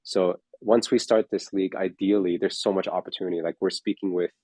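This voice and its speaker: A man's voice: sits low, fairly even intonation, fairly quick, a little mellow, dry recording. A man in his forties, a fitness coach, talking in a casual chat, rambling with lots of fillers and restarts. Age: 40s.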